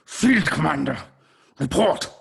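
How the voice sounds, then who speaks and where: A man's voice: fairly high pitch, animated, takes it slowly, creaky, a bit bright, husky, heavily acted. A man playing a stern alien commando captain in a table read, with a commanding military manner.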